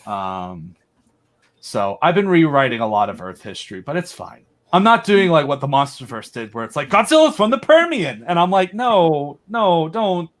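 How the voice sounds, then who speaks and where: Higher-pitched man chatting casually. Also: sing-song, normal speed. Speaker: podcast guest, audio drama creator. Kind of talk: casual enthusiastic chat.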